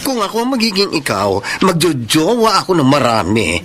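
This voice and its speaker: A man's voice: a little high, wildly swooping pitch, moderate pace, bright, forceful tone, exaggerated voice. An actor playing a flippant, teasing male friend in a radio drama, playful and theatrical. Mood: flippant, dramatic. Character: flippant, campy, teasing close friend named Renz. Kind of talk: Tagalog radio drama acting.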